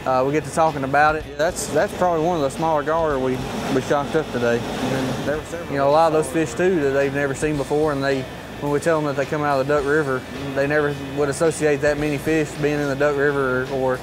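Casting: male wildlife officer, quick casual delivery, very even pitch, background noise. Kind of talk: casual explanatory talk.

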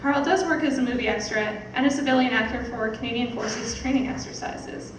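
Young woman, higher voice, stage host reading an introduction, heavy echo, distant mic. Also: a little fast. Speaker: event host introducing a speaker. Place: theatre stage, indoors. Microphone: stage mic on stand, picked up by distant camera. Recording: echoey, noisy hall from far off.

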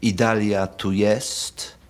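An actor playing an angry, suspicious middle-aged count in a radio drama, speaking in a brooding, deliberate way. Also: male voice, lowish voice, level tone. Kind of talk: theatrical radio drama acting.

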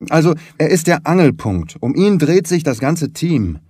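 A man's voice: fairly high pitch, big pitch swings, normal speed, darkish tone, slightly rough, dry recording, studio quality, voice pushed up. A man voicing a huge, distraught young rugby player in an audiobook, talking with urgent, earnest emphasis. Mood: agitated, earnest.

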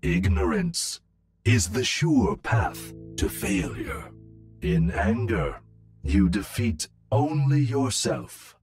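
An actor playing a serene, wise robot monk from a video game, speaking in measured, philosophical sayings. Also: male voice, on the high side, big pitch swings, darkish tone, soft background music, full character voice. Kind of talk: video game hero voice lines.

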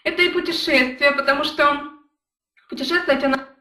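Brief: young woman, high bright voice, earnest storyteller, roomy echo, a bit off-mic. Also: steady tone, brisk, recorded from a distance. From Ukraine. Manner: earnest, storytelling, addresses chat viewers directly. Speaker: network marketing leader. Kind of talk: motivational live stream talk.

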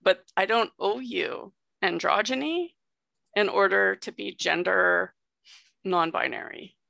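Gravelly, bright-voiced young woman explaining. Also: medium pitch, no echo. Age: young adult. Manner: explanatory, conversational, checks in often. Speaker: guest sex educator. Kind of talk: educational presentation on video call. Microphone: video call.

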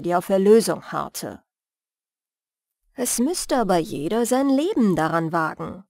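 A woman reading a fairy tale as a bedtime audiobook, in a measured, soothing manner. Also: medium pitch, darkish tone, no echo, studio quality. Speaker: storyteller and audiobook reader.

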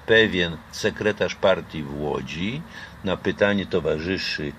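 Deep-voiced older man, hesitant and reflective. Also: unhurried, a bit bright, a little hiss or hum. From Poland. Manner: reflective, hesitant with frequent fillers and restarts. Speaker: interviewee. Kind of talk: casual conversation. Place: outdoor garden patio. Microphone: camera close by.

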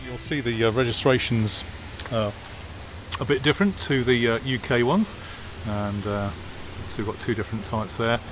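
A man giving a relaxed, hesitant walk-around commentary in an outdoor car park, filmed on a phone. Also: mid-range voice, moderate pace, music in the background, poor audio. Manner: relaxed, hesitant with many fillers. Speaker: classic car vlogger. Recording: outdoor phone video with clear background noise. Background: jet washer and outdoor noise.

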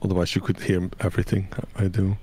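A man chatting casually with friends while gaming, relaxed and soft-spoken, calling his own voice soothing. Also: deep voice, fast, breathy edge. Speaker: streamer's guest.

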